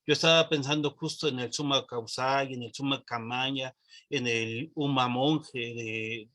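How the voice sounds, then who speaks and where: A man's voice: medium pitch, fairly even intonation, unhurried, sharp tone. A man from Oaxaca with a Mexican accent, speaking reflectively and off the cuff as a panelist, searching for his words. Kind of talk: reflective spontaneous talk.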